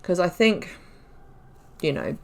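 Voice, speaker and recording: A woman talks through a video game as she plays, thinking aloud in a loose, chatty way. Her voice sits a little low, and she laughs often. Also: animated, slow pace, darkish tone. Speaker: YouTuber playing a video game. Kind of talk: let's-play commentary.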